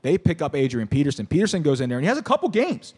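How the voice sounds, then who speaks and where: Hoarse, excitable sports talk host. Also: male voice, fairly high pitch, very fast. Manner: excitable, rambling with many restarts. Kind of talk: casual sports chat. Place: bar venue.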